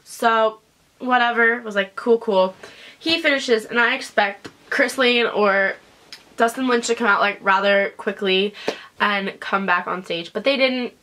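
A young woman tells a story straight to a camera set up in her small bedroom, her voice bright and forward. She sounds congested and sniffly, and she talks in a loose, chatty way, full of asides and fillers. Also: fairly high pitch, unhurried. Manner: chatty and animated, lots of "like" and "whatever". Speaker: vlogger. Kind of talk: casual storytelling vlog. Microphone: camera in front at desk distance.

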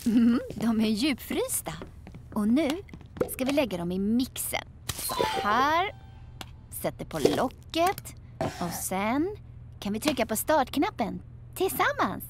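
A woman's voice: fairly high pitch, big pitch swings, long pauses, noisy background. A woman playing a patient, soothing mother tiger in a dubbed cartoon, encouraging and playful. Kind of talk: Swedish cartoon dubbing.

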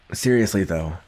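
A young man playing a gruff, guarded but secretly caring villain in an ASMR roleplay, speaking haltingly with sighs and grudging tenderness. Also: sits low, darkish tone. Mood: gruff teasing. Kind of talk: ASMR roleplay addressed to the listener.

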